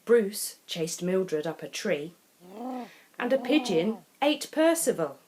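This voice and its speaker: A woman reading a children's picture book aloud as a storyteller, expressive and deliberate, with dramatic pauses between phrases. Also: mid-range voice, a little hiss or hum.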